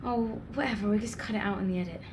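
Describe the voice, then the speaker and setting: A woman's voice: mid-range voice, fast, background noise, natural voice. A woman playing a sarcastic, sceptical young video maker in an audio drama, dry and questioning, uneasy but bold. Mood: presenting, breezy. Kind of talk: naturalistic audio drama acting.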